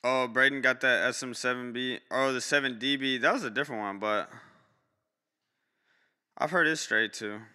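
A man's voice: normal speed, pauses often, pushed and bright, clear, resonant tone, in a large hall. A young man on a live music-review stream, giving blunt, slangy feedback to artists and swearing casually, recorded on a close studio mic in a small home studio. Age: young adult. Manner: blunt, slangy, swears, jumps high in pitch when reacting. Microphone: studio mic close up, headphones on.

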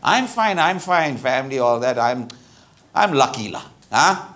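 An actor with a Malaysian accent playing a chatty, tactless middle-aged lawyer in a live stage comedy, blunt and colloquial. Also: male voice, medium pitch, a little slow. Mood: persuasive, breezy. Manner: animated, blunt, colloquial with particles like lah. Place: theatre stage with bar set, live audience. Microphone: lapel mic.

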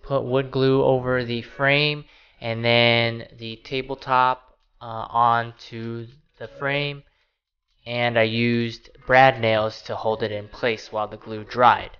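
A man in his thirties hosting a home DIY channel, explaining a woodworking build in a relaxed, casual way with frequent fillers. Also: medium pitch, takes it slowly, muffled recording. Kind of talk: casual how-to narration. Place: small home laundry room, then voice-over over garage workshop footage. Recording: voice over clear background music. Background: background music.

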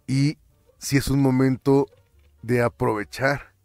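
A man's voice: medium pitch, slow, no echo, faint music behind. Chatty Mexican man on a finance vlog. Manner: animated, chatty, stumbles and repeats words, slips in English terms. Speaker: finance vlogger. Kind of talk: casual commentary over screen captures.

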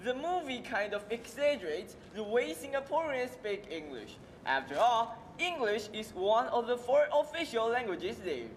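Young man, student actor, lively intonation, roomy hall echo, faint music. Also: extremely high pitch, normal speed, few pauses, slight background noise. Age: young adult. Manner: earnest, careful recited English. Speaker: student performer playing a Taiwanese student. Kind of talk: school stage skit acting. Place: large auditorium stage. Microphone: stage floor mics from afar.

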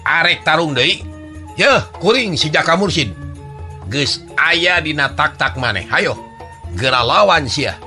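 A man's voice: on the high side, lively intonation, measured pace, bright, forceful tone, soft background music, voice pushed up, exaggerated voice. A man voicing a bold young warrior in a folk tale, calling out a defiant challenge. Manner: challenging, declamatory. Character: bold young male warrior taunting a giant.

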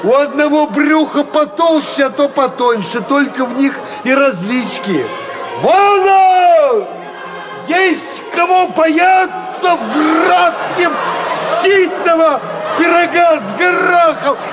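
Slow, drunken old ex-soldier. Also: male voice, extremely high pitch, expressive, highs cut off, music in the background, crowd noise, exaggerated voice. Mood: loud, scornful. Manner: drunken drawl, swinging from mutters to shouts. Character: drunken old ex-soldier farmhand, boastful, fearless, rambling. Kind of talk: Soviet radio play acting. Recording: 1950s Soviet radio drama recording.

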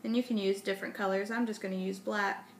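A woman's voice: medium pitch, flat delivery, quick pace. A young adult woman reading and explaining for children, often asking the listener questions. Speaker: storyteller and craft presenter. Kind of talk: children's read-aloud and simple instruction. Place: indoors, home dining room. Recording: roomy, noisy home phone recording.

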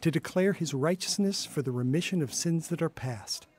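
An earnest young pastor speaks in a fairly high male voice. He is gentle and instructive, in the manner of a radio drama. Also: moderate pace, husky. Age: young adult.

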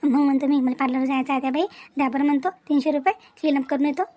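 A woman's voice: sits high, flat delivery, very fast, dark tone, close to an everyday voice. A woman voicing a vain, calculating young daughter-in-law in a family cartoon, muttering complaints in a Varhadi Marathi accent. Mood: scheming, hesitant. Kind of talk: cartoon family comedy acting.

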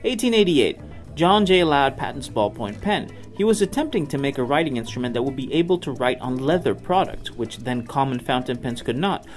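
A man's voice: medium pitch, lively intonation, brisk. A man presenting a tech history series in scripted narration. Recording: music behind the voice. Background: background music.

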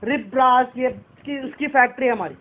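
A man's voice: extremely high pitch, a little fast. A man with a Hyderabadi accent making a prank phone call, speaking in a cheeky, insistent, mock-earnest manner. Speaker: prank caller. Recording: muffled phone call recording.

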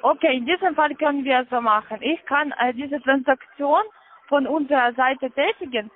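A woman in German, giving insistent, halting instructions as a call-centre agent on the phone. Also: high-pitched, slight background noise. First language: not German. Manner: insistent, halting non-native phrasing. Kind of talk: pushy scripted phone instructions. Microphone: telephone call. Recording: dull lo-fi phone line.

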